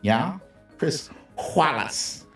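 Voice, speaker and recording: A man's voice: a little high, expressive, very slow. A man hosting a live political commentary stream in a casual, opinionated, sardonic conversational way, with salty slang. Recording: roomy echo, distant mic, music behind.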